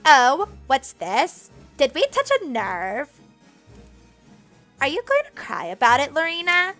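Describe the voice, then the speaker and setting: A woman voicing a haughty older maid, a smug bully who scolds and mocks with drawn-out theatrical relish. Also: sits high, big pitch swings, fairly bright tone, faint music behind, voice pushed up, exaggerated voice. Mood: mocking.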